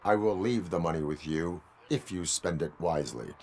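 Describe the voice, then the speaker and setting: A man playing a stern robotic cyborg police officer in a video game, speaking in a formal, clipped, deadpan way. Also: deep voice, normal speed, a little hiss or hum. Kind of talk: video game cutscene acting.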